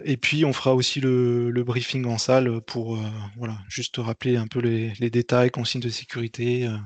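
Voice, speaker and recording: Man, event organizer, informal briefing, flat intonation, hesitant phrasing, dry close sound. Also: medium pitch, no room sound. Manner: hesitant, halting delivery with frequent repeats. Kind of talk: informal meeting presentation.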